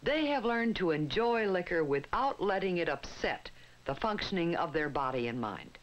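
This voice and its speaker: An American woman reading scripted 1960s educational film narration in a measured, instructive way with emphatic phrasing. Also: on the low side, lively intonation. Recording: dull 1960s film soundtrack with music. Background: prominent music.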